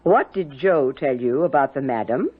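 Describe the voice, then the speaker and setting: An actress playing a stern, secretive housekeeper aunt, brusque and commanding, in a 1970s radio drama. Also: female voice, rumbling low, expressive, normal speed, breathy edge, exaggerated voice. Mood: stern, suspicious. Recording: muffled old radio broadcast.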